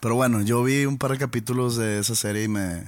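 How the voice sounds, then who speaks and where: A man's voice: mid-range voice, steady tone, quick pace, dry recording. A man with a Mexican accent co-hosting a casual podcast, telling rambling stories and joking. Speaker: podcast co-host. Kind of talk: casual chat.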